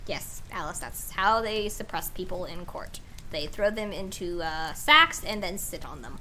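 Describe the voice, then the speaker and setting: A woman streamer reading a story aloud in an expressive, playful way with casual asides. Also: lowish voice, measured pace, slight background noise, low-quality recording.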